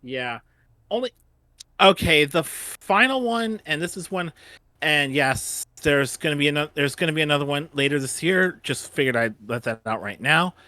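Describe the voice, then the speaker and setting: Male, slightly high register, halting delivery with repeated phrases, casual discussion, dry recording. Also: lively intonation, pushed and bright, no echo.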